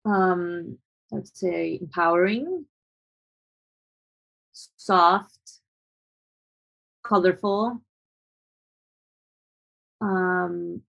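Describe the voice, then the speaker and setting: A woman's voice: fairly low pitch, extremely slow, vocal fry, pauses often, fairly bright tone, muffled recording. A young adult woman photographer from Colombia, answering interview questions in a thoughtful, hesitant way with frequent restarts.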